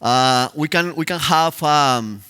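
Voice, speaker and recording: A man's voice: fairly high pitch, slow, sharp tone, smooth. A man with a Spanish accent giving a live conference talk, hesitant, with many fillers and restarts, Spanish as his first language. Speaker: conference speaker. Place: conference stage.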